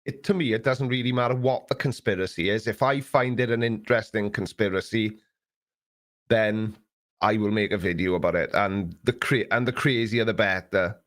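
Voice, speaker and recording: A man's voice: on the low side, normal speed, a bit bright. A middle-aged man hosting a live Q&A stream, chatting casually in a rambling, sarcastic, self-mocking way.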